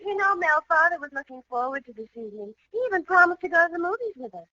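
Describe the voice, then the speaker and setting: Sweet american fiancee, high bright voice, old dull radio comedy sound. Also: female voice, animated, fast talker, no echo, heavily acted. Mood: coaxing. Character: sweet, devoted young fiancée. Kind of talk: 1940s radio comedy acting.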